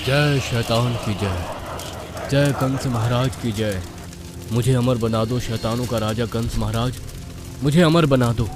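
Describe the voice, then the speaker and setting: A man voicing an evil, devil-worshipping school principal as a melodramatic animated villain, fervent and menacing. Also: mid-range voice, takes it slowly, noisy background, natural voice. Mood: fervent chanting. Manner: chanting, pleading, menacing.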